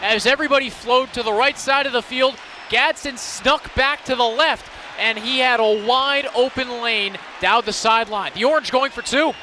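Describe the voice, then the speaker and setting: A man's voice: extremely high pitch, moderate pace, pushed and bright, a faint crowd, a little hiss or hum. A young man giving live radio football analysis, explaining and recapping plays in descriptive phrases. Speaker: color analyst.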